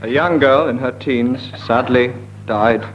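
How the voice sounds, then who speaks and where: A man's voice: mid-range voice, a little slow, over loud music. A man improvising a comic story as a panel show contestant, on a muffled, noisy broadcast recording. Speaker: comedy panellist. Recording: muffled broadcast with loud background noise.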